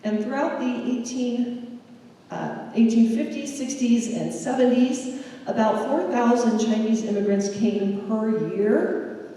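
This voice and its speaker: A woman presents history in a slow, measured storytelling manner. Her voice is mellow and warm in tone. She sounds distant from the mic, with the long echo of a large lecture hall around her. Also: on the high side. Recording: echoey hall from far off.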